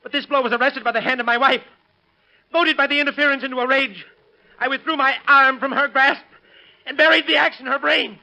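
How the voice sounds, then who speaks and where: A man's voice: very high-pitched, steady tone, brisk, vocal fry, bright, forceful tone, exaggerated voice. An actor playing an unhinged, resentful, cowardly husband in theatrical, agitated 1930s radio melodrama style, sneering as he speaks. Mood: haunted recitation. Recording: muffled, noisy vintage radio recording.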